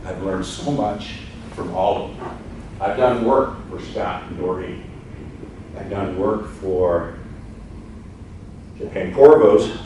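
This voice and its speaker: A man's voice: on the low side, a little mellow. A man giving a rambling, folksy, earnest presentation to a live audience in an event room. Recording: echoey room, far from the mic, noisy.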